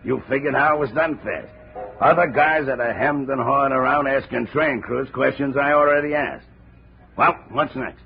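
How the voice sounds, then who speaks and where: An actor playing a gruff, aging railroad detective who talks in heavy railroad slang, in a 1950s radio drama. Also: male voice, mid-range voice, moderate pace, close to an everyday voice. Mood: amused. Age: older. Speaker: railroad cop. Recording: dull old radio transcription with faint hiss.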